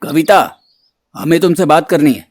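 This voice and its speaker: A man's voice: fairly high pitch, normal speed, a little mellow, natural voice. A man voicing a stern, traditional village headman in a moral-story cartoon, speaking with authority. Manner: authoritative, admonishing.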